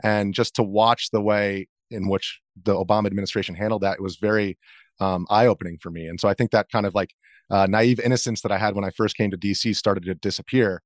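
A man in his thirties with a fairly low voice talks as a guest in a casual interview. He feels his way through answers in loose, wandering sentences, recorded close and dry. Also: steady tone, a little fast, dry recording. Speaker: interview guest, video journalist.

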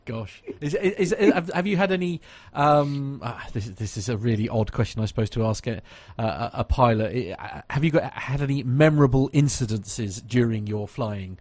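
A man's voice: medium pitch, expressive, normal speed, hardly any pauses, a little mellow, dry recording. A man interviewing a pilot, asking his questions hesitantly with many stumbles and restarts. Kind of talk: podcast interview.

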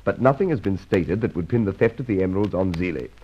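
Muffled old radio recording of a stolid police inspector. His husky voice rises and falls in lively fashion. He sounds blunt, skeptical and a touch baffled, in old-fashioned drama style. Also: sits low, fast. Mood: puzzled, conceding. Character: stolid, gruff male police inspector, skeptical and slightly slow. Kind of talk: mid-century radio drama acting.

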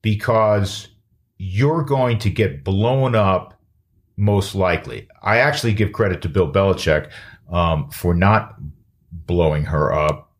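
A man's voice: low-pitched, slow pace. A middle-aged man hosting a podcast, talking casually with frequent "uh" fillers as he reads ads and chats. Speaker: podcast host. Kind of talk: casual podcast talk and host-read ads.

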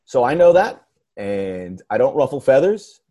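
An American man hosting a podcast in a middle-pitched voice. He talks earnestly and with emphasis, often doubling back to restart a phrase. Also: sing-song, unhurried, dark tone. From United States. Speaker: podcast host. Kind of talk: casual podcast talk.

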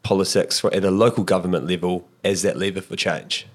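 A man's voice: low-pitched, fairly even intonation, brisk. A man hosting a podcast, introducing his guest in an upbeat, friendly way, then asking questions. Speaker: podcast host. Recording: loud music under voice.

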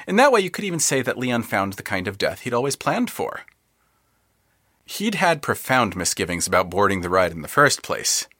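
A man narrating literary audio fiction in a wry, precise, deliberate manner. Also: mid-range voice, sharp tone, no room sound, studio quality.

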